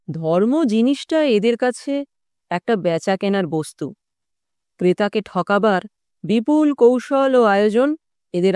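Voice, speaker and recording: A woman's voice: mid-range voice, dark tone, no room sound. Woman voicing a reflective occultist raconteur. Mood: cynical. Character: male tantric occultist recounting his wandering youth. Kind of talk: audiobook storytelling.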